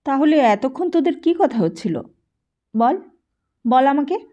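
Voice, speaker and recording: Woman as scornful teenage boy, pushy questioning, cynical about the rich, high pitch, audiobook drama, muffled sound. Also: big pitch swings, a little slow, dark tone. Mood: scornful, demanding. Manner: interrogating, badgering, dismissive.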